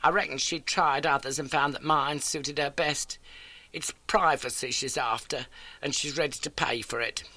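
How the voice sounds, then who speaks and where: Man acting elderly working-class landlady, audiobook, garrulous, earnest, high bright voice, motherly. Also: fairly even intonation, moderate pace, dry recording. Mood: chatty, earnest. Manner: chatty, folksy, dramatic storytelling.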